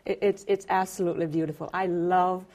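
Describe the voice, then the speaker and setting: A woman's voice: fairly low pitch, steady tone. A middle-aged woman from Jamaica, an interview guest, speaking in a casual chat with many fillers and restarts. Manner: warm, halting, with frequent fillers and restarts. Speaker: interview guest, retired federal employee.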